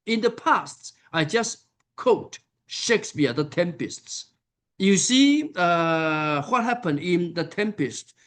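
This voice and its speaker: Slow-speaking man lecturing. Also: sits high, lively intonation, fairly bright tone. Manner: unhurried, many fillers and pauses. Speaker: conference keynote speaker. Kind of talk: academic conference lecture. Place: conference hall.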